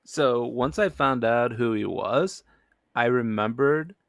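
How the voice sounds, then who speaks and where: A man's voice: medium pitch, takes it slowly, dry recording, clean studio sound. A man on a podcast panel, discussing a film in a casual, conversational way.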